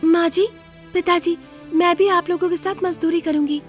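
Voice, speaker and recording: Woman acting a high-voiced, pleading pregnant daughter-in-law. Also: fairly even intonation, normal speed, soft background music. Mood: reassuring. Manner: pleading, respectful. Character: pregnant young daughter-in-law, humble, earnest, self-sacrificing. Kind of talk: moral story character voice.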